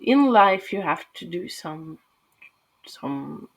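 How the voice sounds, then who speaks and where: A woman's voice: fairly low pitch, lively intonation, slow. A woman from Norway with a Norwegian accent, talking casually and hesitantly through a game build with many fillers. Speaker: gaming channel host.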